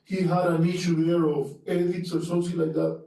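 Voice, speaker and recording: A man's voice: sits high, little pitch movement, creaky, dark tone. A man from Cuba with a Cuban Spanish accent, speaking earnestly at an academic seminar, often restarting his phrases. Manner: earnest, halting with restarts and fillers. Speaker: panelist. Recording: echoey room, mic far off.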